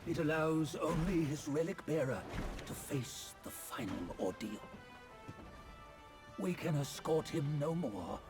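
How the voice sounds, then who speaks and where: An actor playing a solemn, cryptic old male sage in a video game, speaking in measured, archaic phrases. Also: fairly high pitch. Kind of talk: dramatic video game dialogue. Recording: music behind the voice. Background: game score music.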